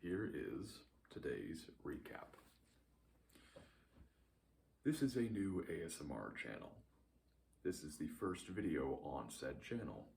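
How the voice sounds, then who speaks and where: A man voicing a mock-solemn bureau announcer who reads a formal recap in a stiff, deadpan way for an ASMR video. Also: rumbling low, a little slow, vocal fry, a little hiss or hum, exaggerated voice. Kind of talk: scripted formal recap narration.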